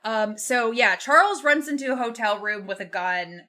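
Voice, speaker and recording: A young woman co-hosting a podcast, retelling a book casually in an animated, informal, sweary way. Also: fairly high pitch, moderate pace. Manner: animated, informal and sweary, acts out quotes in passing. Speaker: podcast co-host.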